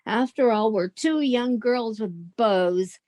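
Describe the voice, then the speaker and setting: A woman playing a sensible, exasperated young roommate in 1940s radio sitcom style, brisk and managing. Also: a little high, a little mellow, no room sound. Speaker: amateur theatre performer.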